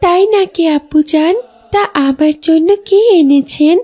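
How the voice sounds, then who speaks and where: A woman plays a sweet young cartoon girl with a very high but mellow voice. She is an eager, excitable, childlike daughter. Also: measured pace. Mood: excited. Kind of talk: cartoon dialogue.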